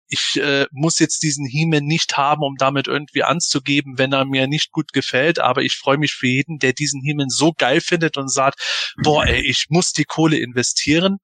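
A man's voice: mid-range voice, fairly bright tone, no echo. A man chatting casually as a panelist on a fan podcast.

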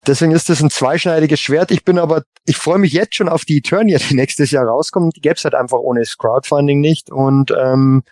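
A man's voice: fairly high pitch, brisk, few pauses, a little mellow, no echo. A man giving his views as a panelist in a casual fan podcast discussion.

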